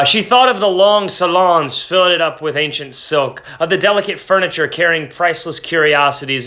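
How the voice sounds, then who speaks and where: Bright-voiced man teaching and reading aloud. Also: medium pitch. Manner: explanatory, breaks off reading to paraphrase in plain words. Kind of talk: informal lesson talk and dramatic read-aloud of a short story.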